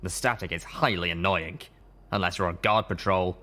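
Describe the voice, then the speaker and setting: A man voicing a rumbling, sternly hospitable undead ghoul stallion in a story reading, measured and warning. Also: sharp tone. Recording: echoey room, audible background noise.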